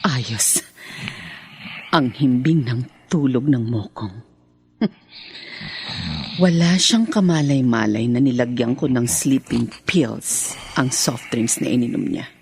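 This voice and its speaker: A woman's voice: a bass voice, slow pace, long pauses, darkish tone, full character voice. A woman acting a desperate captive in a Filipino radio drama, theatrical and exasperated, talking to herself and pleading. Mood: scheming.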